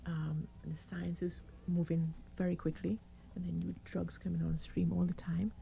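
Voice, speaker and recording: A middle-aged woman doctor with a croaky, hoarse voice, calmly explaining her experience as a radio guest. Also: on the low side, moderate pace, highs cut off. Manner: calm, reassuring, explains step by step. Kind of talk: radio interview.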